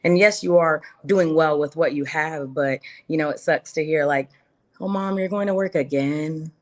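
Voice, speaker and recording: A woman's voice: low-pitched, moderate pace. A woman of about thirty telling her personal story casually as an interview guest, emphatically, repeating phrases and asking rhetorical questions.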